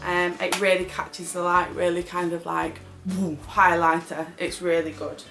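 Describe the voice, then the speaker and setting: A woman's voice: on the low side, little pitch movement, unhurried, gravelly, over music. A British woman chatting casually as a beauty vlogger reviewing products.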